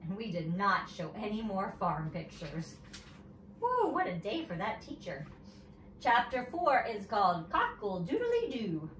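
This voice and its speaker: A woman's voice: medium pitch, huge pitch swings, unhurried, a bit bright. A woman teacher reading a children's story aloud expressively, with chatty asides, recorded at a distance in an echoey room. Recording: roomy echo, distant mic, faint noise.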